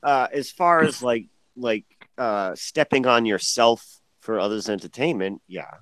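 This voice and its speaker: A man's voice: mid-range voice, measured pace, a bit bright, dry recording, low-quality recording. A man in a casual group chat who throws in dry, hesitant quips.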